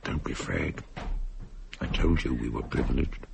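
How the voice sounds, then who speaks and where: A grave, resigned husband in a radio horror play. His voice is extremely deep and full of gravel, on a noisy recording. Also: moderate pace, full character voice. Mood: grave, reassuring. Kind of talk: radio horror drama acting.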